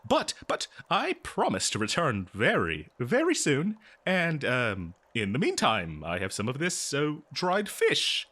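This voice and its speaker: A man's voice: mid-range voice, wildly swooping pitch, a little slow, few pauses, sharp tone, heavily acted. A man playing an eager, kindly archaeologist in a fantasy audio roleplay, speaking in a hesitant, stammering, scholarly way straight to the listener. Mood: gentle, reassuring. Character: eager, kindly male archaeologist befriending a lonely monster. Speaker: audio drama performer. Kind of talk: scripted fantasy audio roleplay, one-sided dialogue to listener.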